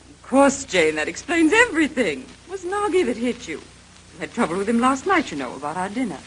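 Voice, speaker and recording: An actress playing a brittle, easily offended society wife in an old radio mystery, with an emphatic, theatrical delivery. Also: female voice, fairly high pitch, sing-song, a little fast. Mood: composed, condescending. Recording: noisy old radio drama recording.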